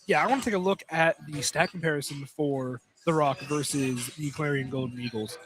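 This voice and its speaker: A young American man with a high voice for a male. He commentates on college sport live and casually. His delivery is informal, with phrases often restarted and corrected as he goes. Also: fairly quick, a bit bright, a little hiss or hum, poor audio. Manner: informal, repeats and corrects himself. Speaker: play-by-play radio commentator. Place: live courtside broadcast in a college field house.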